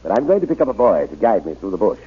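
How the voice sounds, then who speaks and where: A man's voice: on the low side, very animated, racing, slightly rough, exaggerated voice. An actor playing a pious, resolute middle-aged missionary reverend in a radio adventure serial, earnest and formal in his phrasing. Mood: solemn, resolute. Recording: muffled old radio broadcast recording.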